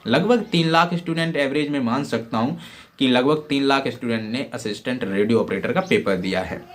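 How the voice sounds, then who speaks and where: A man's voice: mid-range voice, fairly quick. A young man from Uttar Pradesh talks informally to exam candidates, with frequent check-in phrases and English words mixed in. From Uttar Pradesh, India. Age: young adult. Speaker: exam-prep YouTuber.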